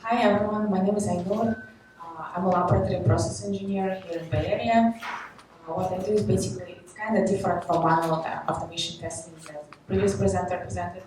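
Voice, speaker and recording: A woman's voice: lowish voice, steady tone, fast, noisy background. A young woman from Kazakhstan giving a conference talk, explaining with many fillers, recorded from a distance in an echoey hall. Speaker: presenter.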